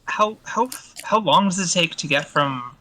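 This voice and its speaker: A man's voice: fairly high pitch, animated, measured pace, pushed and bright, slight background noise. A man from the northern United States bantering casually as a tabletop game player.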